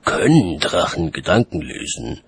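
Man playing a gruff, very husky weary watchman. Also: low-pitched, sing-song, a little slow, dry recording, heavily acted. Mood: wry. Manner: gruff, dry, sarcastic. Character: grumpy, sardonic, weary male city watchman.

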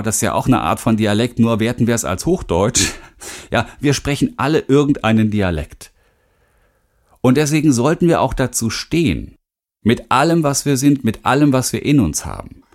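A man's voice: mid-range voice, sing-song, normal speed, a little mellow. Emphatic middle-aged man narrating self-help. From Rhineland, western Germany. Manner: candid, emphatic, addresses the listener directly. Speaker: audiobook author and speaking coach.

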